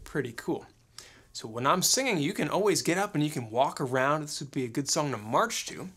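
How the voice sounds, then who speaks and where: A man's voice: on the high side, lively intonation, a little fast, a bit bright, breathy edge. A man in his thirties hosting as a children's music teacher, talking upbeat and encouragingly in simple phrases and questions aimed at little kids. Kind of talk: upbeat talk to young children.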